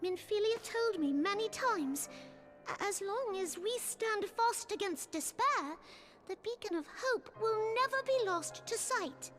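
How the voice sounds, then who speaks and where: A woman voicing a tiny, tearful young game heroine with a squeaky, childlike, halting voice. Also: animated, a bit bright, heavily acted. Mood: tearful, hopeful. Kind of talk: video game voice acting. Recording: music behind the voice. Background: game music.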